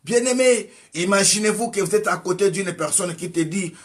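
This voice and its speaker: A preacher delivering an exhorting sermon with a high voice. He fires rhetorical questions at his listeners and swings between loud outbursts and soft passages. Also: moderate pace. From Congo. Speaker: evangelist preacher.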